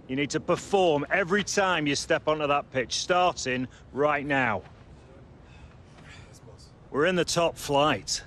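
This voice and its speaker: A man's voice: on the high side, a bit bright, close to an everyday voice. A man playing a demanding football team manager in a video game cutscene, speaking firmly and with command. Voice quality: firm, commanding.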